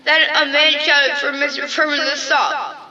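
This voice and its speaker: A woman playing a frightened, guilt-ridden young boy in an audio drama, halting and tearfully pleading. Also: sits high, flat delivery, pushed and bright, distant mic, muffled recording, faint music behind. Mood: scared, defensive.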